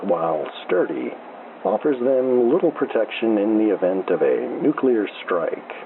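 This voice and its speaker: A man playing an unflappable small-town baseball radio announcer, calling play-by-play in an unhurried deadpan over a noisy, thin AM broadcast. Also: mid-range voice, gravelly, narrow, tinny audio. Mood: calm, matter-of-fact. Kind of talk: slow old-time baseball radio play-by-play.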